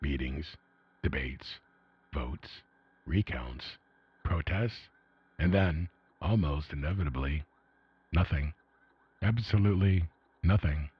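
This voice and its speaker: A man with an extremely low, very husky voice narrates like a retro radio storyteller. His delivery is solemn, measured and foreboding. The sound is dulled by an old AM radio filter. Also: lo-fi sound. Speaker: narrator and host of an audio story series.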